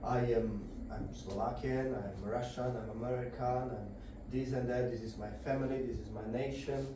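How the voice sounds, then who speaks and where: A man's voice: medium pitch, steady tone, background noise. Man lecturing far off in an echoey room. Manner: halting, searching for words. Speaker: lecturer at a devotional program. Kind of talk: devotional scripture lecture. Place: indoor gathering room.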